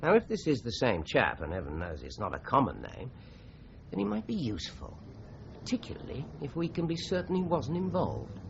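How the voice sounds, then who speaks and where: An actor playing a courteous, methodical Scotland Yard inspector in a British radio crime drama, speaking in a polite, measured, probing way. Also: male voice, mid-range voice, huge pitch swings, gravelly. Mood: calm, purposeful. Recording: muffled radio drama recording, faint noise.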